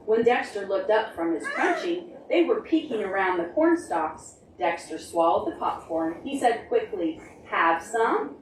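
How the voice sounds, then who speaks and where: A woman reading a picture book and leading preschool activities, talking to small children in simple, animated prompts. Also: mid-range voice, a little slow, few pauses, slight background noise. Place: library storytime room, recorded from a distance. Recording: roomy, distant mic with music behind.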